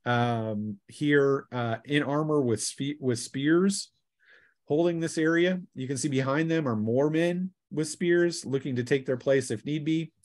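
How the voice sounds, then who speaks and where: Man running a tabletop game, halting delivery. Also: on the high side. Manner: halting, explanatory. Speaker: game master. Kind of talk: improvised tabletop game narration.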